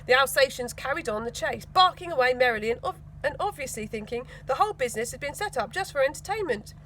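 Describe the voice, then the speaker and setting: A woman reads a children's story in a high voice with lively, swooping pitch. She leans dramatically into key words, and there is clear noise behind her. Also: quick pace. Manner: animated, dramatic emphasis. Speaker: school teaching assistant reading a children's book. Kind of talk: expressive storybook narration.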